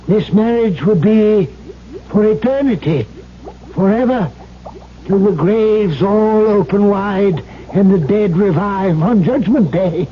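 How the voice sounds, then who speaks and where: An actor playing a weary, grave old scholar of forbidden elixirs in a slow, portentous 1970s radio drama style. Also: male voice, sits high. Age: older. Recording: dull, hissy vintage radio broadcast.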